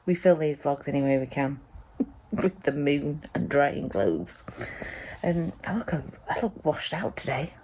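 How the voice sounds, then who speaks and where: Woman, croaky morning voice, low-pitched, rambling casual chat, faint background hiss, sunroom at home. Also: lively intonation, measured pace, dark tone. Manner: rambling and chatty, many ums. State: croaky, just woken up. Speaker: vlogger, mother.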